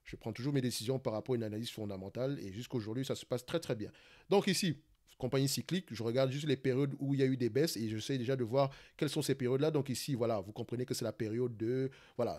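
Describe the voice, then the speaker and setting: A man with a Cameroonian French accent who hosts an investing channel, talking quickly through a live screen-share stock analysis, often restarting phrases and checking in with "d'accord?". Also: medium pitch, a little mellow. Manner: fast talker, searches for words, many restarts and "d'accord?".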